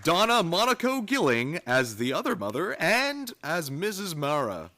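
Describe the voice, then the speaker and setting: A man's voice: fairly high pitch, huge pitch swings, moderate pace, a crowd in the background, background noise. A man reading scripted credits as a radio announcer, recorded live in a theater. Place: theater stage, live performance.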